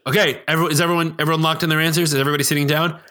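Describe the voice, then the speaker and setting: A man from New York, a guest on a podcast, telling stories in a fast, animated way with lots of fillers. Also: fairly high pitch, slight background noise. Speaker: podcast guest, business owner. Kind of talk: casual podcast conversation.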